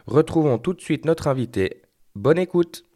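A man hosting a podcast, reading a scripted intro and then asking a guest clear, structured questions. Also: fairly high pitch, lively intonation, normal speed, dark tone. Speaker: podcast host. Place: startup incubator office.

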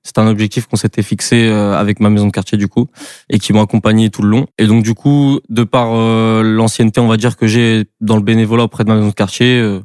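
A man's voice: on the low side, little pitch movement, fast talker, a little mellow, smooth, dry recording. Young man, early twenties, from brittany, casual guest, filler-heavy, dry sound. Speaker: guest, volunteer. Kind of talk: casual chat.

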